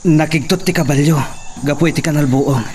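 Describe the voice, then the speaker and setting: A woman's voice: low voice, fairly even intonation, fairly quick, mellow, slight background noise, pitched below the performer's own voice, natural voice. A woman doing a hurt, weak man. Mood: pained.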